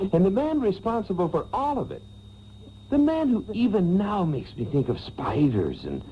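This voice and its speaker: An actor playing a slick, pleading working-man baker in a melodramatic comic radio soap. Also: fairly high pitch. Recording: muffled taped radio with hum.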